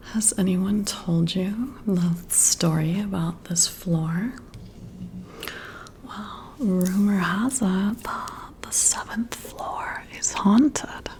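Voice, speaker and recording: A woman playing a friendly, competitive female boss working late, in a soft breathy whisper, hushed and gently teasing in an ASMR roleplay. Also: mid-range voice, extremely slow, slight background noise. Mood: hushed, spooky.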